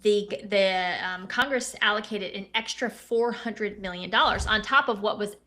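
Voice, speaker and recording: Young woman co-host, high-pitched bright voice, chatty commentary with self-corrections. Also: normal speed. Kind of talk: casual political commentary.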